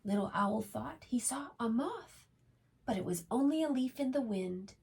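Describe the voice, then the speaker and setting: A woman reading aloud to young children as a preschool storytime host, expressive and often putting questions to her listeners. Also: a little high, moderate pace, creaky, slightly rough.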